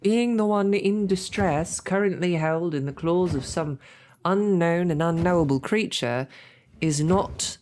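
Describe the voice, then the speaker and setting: A woman's voice: on the low side, dark tone, a little hiss or hum, close to an everyday voice. A woman playing a snarky captive lady knight. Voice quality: formal, wordy and wry. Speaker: audio drama performer. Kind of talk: audio roleplay acting.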